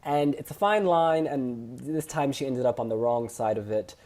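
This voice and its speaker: A man hosting a casual review chat, sharing opinions in an expressive way with emphatic phrasing. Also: mid-range voice, moderate pace. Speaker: host reviewing song contest entries.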